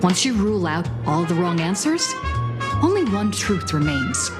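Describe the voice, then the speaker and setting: A woman playing a composed young female adventurer in a video game, speaking with measured assurance. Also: lowish voice, sing-song. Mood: confident deduction. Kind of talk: video game character acting. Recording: loud music behind. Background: game music.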